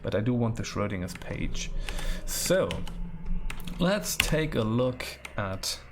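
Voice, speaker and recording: Creaky-voiced man streaming a game. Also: mid-range voice, big pitch swings, slow pace, noisy background. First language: German. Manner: musing, thinking aloud, repeats words. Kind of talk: casual game commentary, chatting with viewers.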